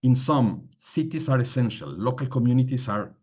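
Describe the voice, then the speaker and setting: A man speaking as a panelist, halting with many fillers and pressing key points. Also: medium pitch, lively intonation, a little mellow, breathy edge. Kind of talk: panel talk on migration policy.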